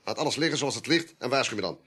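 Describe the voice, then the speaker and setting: Brusque, sharp-voiced police inspector. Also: male voice, mid-range voice, animated, rapid-fire, breathy edge. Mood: curt, commanding. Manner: curt, formal, authoritative. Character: brusque, dismissive, commanding male police inspector. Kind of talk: radio play acting.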